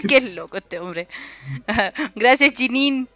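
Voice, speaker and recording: A woman's voice: high voice, huge pitch swings, drawn-out words, fairly bright tone, no echo, faint music behind. A Chilean woman hosting a casual voice chat on her stream. She is chatty, uses a lot of slang and laughs often. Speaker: streamer host.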